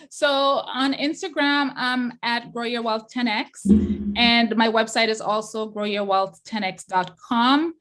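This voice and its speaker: Brief: middle-aged Jamaican woman, interview guest, quick chatty delivery. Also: a little high. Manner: quick and chatty, many fillers. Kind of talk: casual interview chat.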